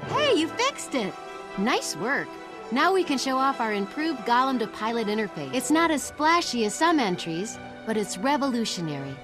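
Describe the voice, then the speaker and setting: Young creature inventor, high, lively and eager, game cutscene with music behind. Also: female voice, normal speed. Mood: upbeat. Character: eager young female asura inventor-pilot, small goblin-like. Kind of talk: video game cutscene acting.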